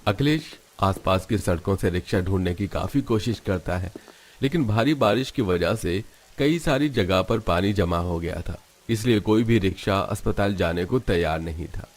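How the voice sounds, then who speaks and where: Low-pitched male story narrator. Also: moderate pace. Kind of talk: scripted storytelling narration for animated family drama.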